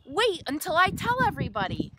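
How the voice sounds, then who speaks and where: An excitable little girl squirrel, as a woman puts her on, eager and breathless with a high, bouncing voice. She sounds impatient for fun, and a clear hiss sits behind her. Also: brisk. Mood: excited.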